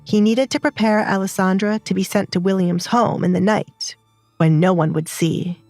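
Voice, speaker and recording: A woman's voice: mid-range voice, moderate pace, a little mellow, no echo, soft background music. A woman narrator reading a scripted dramatized story.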